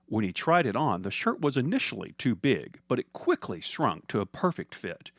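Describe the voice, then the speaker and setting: Animated man narrating a comic story. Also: medium pitch, normal speed, dry recording, clean studio sound. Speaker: storyteller, podcast host.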